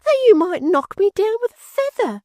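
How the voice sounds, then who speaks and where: A shrill, overwrought young maid. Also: male voice, very animated, normal speed, a little mellow, dry recording, studio quality. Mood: shrill dismay. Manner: gasping, overwrought. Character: shrill excitable young maidservant.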